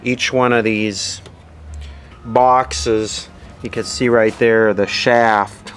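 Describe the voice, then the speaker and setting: Man, low voice, slow unhurried delivery, workbench demo with faint noise behind. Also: fairly even intonation, fairly bright tone. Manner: plain, unhurried, explaining step by step. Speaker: radio repair hobbyist. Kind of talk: casual hands-on workshop demo.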